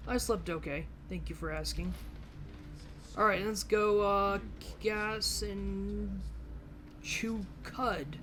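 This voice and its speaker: A woman's voice: mid-range voice, steady tone, slow, a bit bright, slight background noise. A woman giving casual, wry gameplay commentary, sometimes speaking in character as she plays. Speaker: let's play host, gamer. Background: game music.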